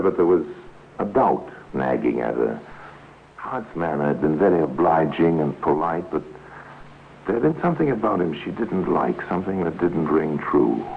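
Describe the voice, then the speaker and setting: A man narrating a 1950s radio crime drama in a grave, measured, deliberate manner. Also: extremely low pitch, vocal fry. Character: solemn storyteller narrating a police case. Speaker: narrator and host. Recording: tinny, noisy 1950s radio recording.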